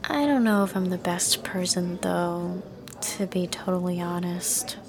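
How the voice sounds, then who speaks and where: A woman playing a guarded, unsure young student in an audio drama, soft-spoken and hesitant, often trailing into breathiness. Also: on the low side, measured pace, creaky, crowd noise. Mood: wistful, unsure. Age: young adult. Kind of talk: acted audio drama dialogue.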